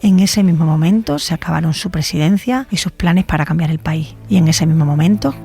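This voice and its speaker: A woman's voice: low voice, fairly quick, gravelly, warm tone. Woman narrating true crime over music. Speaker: podcast narrator, journalist.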